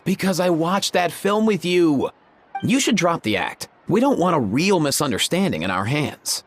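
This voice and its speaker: A man's voice: on the high side, normal speed, soft background music. An actor playing a cheerful, teasing young male companion in a video game. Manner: lively, teasing. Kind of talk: video game cutscene voice acting.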